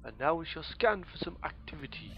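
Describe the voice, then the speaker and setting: A hoarse man, casually commentating a strategy game in a rambling, mock-grand way. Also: fairly high pitch, expressive, fairly quick, sharp tone, soft background music. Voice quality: rambling, self-correcting, mock-grand phrasing. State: hoarse, losing his voice. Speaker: let's play gamer.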